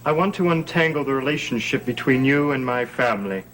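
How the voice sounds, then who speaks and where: An actor playing an insolent, scheming young heir in a gothic television soap, smug, formal and pointed. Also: male voice, mid-range voice, expressive, a little fast, fairly bright tone, slight background noise, close to an everyday voice, rough recording. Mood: smug, needling. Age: young adult.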